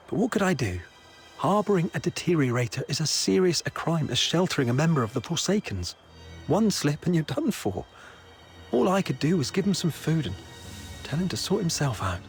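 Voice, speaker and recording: An actor playing a young town escort guard in a video game, anxious, chatty and world-weary but soft-hearted, explaining things conversationally. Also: male voice, mid-range voice.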